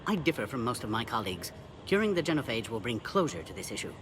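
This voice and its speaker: An actor playing a courteous, philosophical alien officer in a video game, formal and articulate. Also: male voice, medium pitch, sing-song, fast, a bit bright. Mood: thoughtful. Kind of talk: video game cutscene acting. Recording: clear background noise of ambience.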